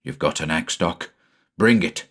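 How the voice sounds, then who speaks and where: A man voicing a grieving farmer father set on vengeance, speaking low, quiet and hard. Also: measured pace, full character voice. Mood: grim.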